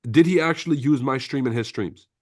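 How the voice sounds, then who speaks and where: A brash, profane man from Lebanon hosts a casual trading livestream, reading viewer comments aloud and calling everyone bro. His voice sits at an ordinary male pitch, recorded close and dry with no room sound. French was his first language. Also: brisk, dry recording.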